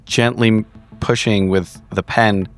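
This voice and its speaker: A man's voice: fairly low pitch, fairly even intonation, slow pace, faint music behind. A man improvising narration as a player in a tabletop role-playing game, analytical and precise with his words.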